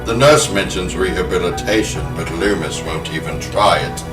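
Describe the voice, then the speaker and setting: A man's voice: low-pitched, moderate pace. A man hosting a horror podcast, moving between talk and dramatic storytelling. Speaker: podcast host. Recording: roomy, music behind.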